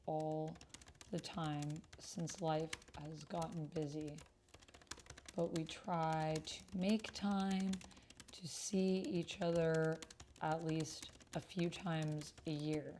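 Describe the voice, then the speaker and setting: A woman's voice: low-pitched, little pitch movement, slow pace, mellow, slight background noise. A woman streamer chatting casually and thinking aloud as she hosts an online roleplaying game, sometimes muttering to herself. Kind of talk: casual livestream chat while playing.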